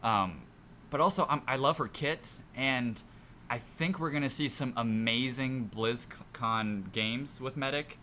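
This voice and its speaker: A man's voice: medium pitch, measured pace, pushed and bright. A man co-hosting a casual gaming podcast, explaining his picks in an informal, easygoing way. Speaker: podcast co-host. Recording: noisy amateur podcast recording.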